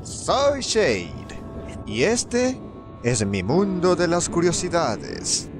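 A man's voice: on the high side, wildly swooping pitch, very slow, over music, heavily acted. A man with a Latin American Spanish accent voicing an eccentric, chatty museum owner in a game dub, effusive and rambling. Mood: effusive, apologetic. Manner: effusive, rambling, stammering repeats. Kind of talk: video game fan-dub acting.

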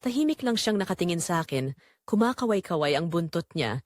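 Expressive young Filipino woman storyteller, dry sound. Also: fairly low pitch, a little fast, no echo. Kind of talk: dramatic scripted first-person romance narration.

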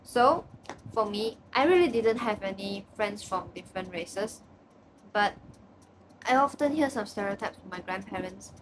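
A woman's voice: mid-range voice, expressive, a little slow, background noise. A nineteen-year-old woman from Malaysia with a Malaysian English accent, talking casually about herself in her bedroom, often restarting her sentences. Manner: chatty, frequent restarts and repeats. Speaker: student. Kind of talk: casual personal video report. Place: small bedroom, indoors. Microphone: webcam at desk distance.

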